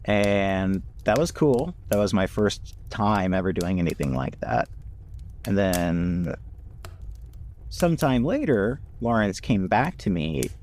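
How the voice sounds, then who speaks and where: A man talking casually and reflectively as a podcast cast member and producer, telling his story in an unhurried way. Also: lowish voice, very animated, darkish tone, a little hiss or hum. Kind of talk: casual chat.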